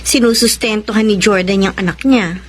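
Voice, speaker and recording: A woman's voice: mid-range voice, normal speed, close to an everyday voice. An actress playing a frank, nosy younger female helper in a Filipino radio drama. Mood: curious, frank.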